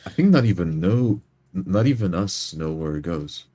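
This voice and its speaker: Man playing a very deep, grim adventurer. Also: expressive, normal speed, mellow, no echo. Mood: resolute, wary.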